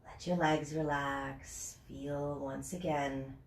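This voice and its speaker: A woman's voice: rumbling low, little pitch movement, distant mic. Stuffed-up woman teaching yoga. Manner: chatty and rambling, then slow and soothing cues. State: stuffed up with a summer cold. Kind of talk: guided yoga class. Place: home, near a porch patio set. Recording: echoey room from off the mic.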